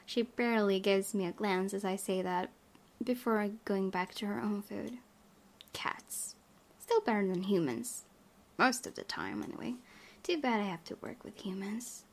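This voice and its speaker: A woman hosting a gaming video, reading story text aloud and chatting informally about it with expressive reactions. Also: medium pitch, unhurried. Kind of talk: reading a game's narration aloud with casual commentary.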